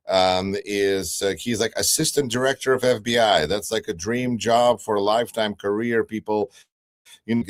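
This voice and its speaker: A man with a lowish voice and Russian as his first language talks politics in a loose, conversational way. He hedges and restarts often, filling gaps with ums and uhs while he works toward his point. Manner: hesitant, many fillers and restarts. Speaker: guest commentator. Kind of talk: casual political talk. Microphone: remote livestream call.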